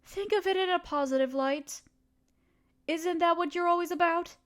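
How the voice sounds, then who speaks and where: Haughty evil queen voiced by a woman, high voice, sardonic and bossy, talks down to her helper. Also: moderate pace, fairly bright tone. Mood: condescending. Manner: condescending, sardonic, commanding. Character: haughty ruthless female evil queen, scheming. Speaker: voice actor. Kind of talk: audio roleplay acting.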